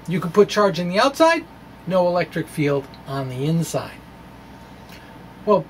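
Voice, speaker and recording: A man's voice: sits high, slight background noise, low-quality recording. A middle-aged man hosting a science video blog, reading in a quirky, wry, dramatic way with casual asides. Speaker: host of a physics video blog. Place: small indoor room with bookshelves. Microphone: stand microphone just below the face.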